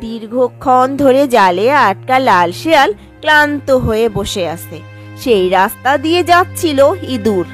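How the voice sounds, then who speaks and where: A woman with a high voice narrates a scripted cartoon fable as a storyteller. Clear background music plays under her. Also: expressive, normal speed.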